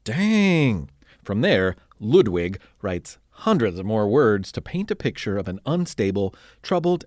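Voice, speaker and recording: Male kids' history podcast narrator: lowish pitch, highly varied intonation, conversational yet playfully dramatic. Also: darkish tone, no echo, studio quality.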